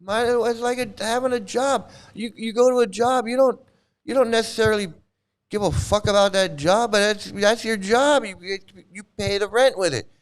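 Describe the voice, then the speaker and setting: A middle-aged man rants in loose commentary, scornful and profane, circling back to the same words again and again. His voice sits high for a man and comes through smooth and clear. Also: moderate pace. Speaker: commentary channel host. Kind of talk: casual ranting commentary.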